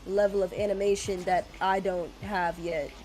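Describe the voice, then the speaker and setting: A woman's voice: medium pitch, fairly even intonation, moderate pace, darkish tone, faint music behind. A woman streaming a game and chatting casually, rambling and hesitant, laughing often and sounding a bit congested. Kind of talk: casual gaming stream chat.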